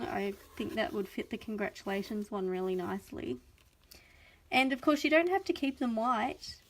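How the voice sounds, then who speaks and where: A woman's voice: medium pitch, slight background noise, low-quality recording. A young adult Australian woman hosting a chatty live craft unboxing, gushing and enthusiastic, a little sniffly from a cold. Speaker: craft video host.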